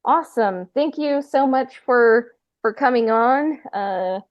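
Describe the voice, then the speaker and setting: A woman hosting a casual podcast chat, talking haltingly and often trailing off. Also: on the high side, expressive, no room sound, highs cut off. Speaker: podcast host.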